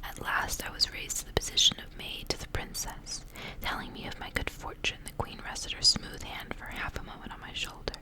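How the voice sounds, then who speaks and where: A woman reading a story aloud in an ASMR style, whispering softly and without hurry. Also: rough recording. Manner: whispering, soft and unhurried storytelling. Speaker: ASMR reader.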